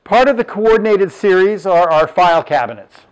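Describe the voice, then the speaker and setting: Sixties male business owner, plain unpolished showroom pitch, a touch higher-voiced. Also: lively intonation, normal speed, smooth, poor audio. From Southern California. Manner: plain, matter-of-fact, lists measurements with fillers. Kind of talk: product presentation. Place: furniture showroom, indoors.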